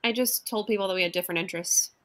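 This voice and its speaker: A woman's voice: medium pitch, racing, a bit bright, lightly acted. An actress playing a hurt, pointed young ex-girlfriend and coworker in a radio play. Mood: awkward, pointed. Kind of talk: radio play acting, naturalistic dialogue.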